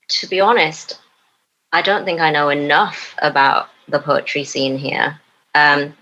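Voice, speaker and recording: A young woman from Newcastle upon Tyne, a poet talking as a podcast guest in a hesitant way, with many pauses and fillers. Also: deep voice, pushed and bright, poor audio. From Newcastle upon Tyne, England. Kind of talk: casual interview chat.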